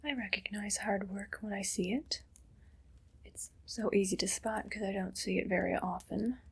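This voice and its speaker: A woman playing a haughty, condescending evil queen in an ASMR roleplay, speaking in a breathy, deliberate whisper. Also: medium pitch, fairly even intonation. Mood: cool, suspicious. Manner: slow, deliberate, imperious.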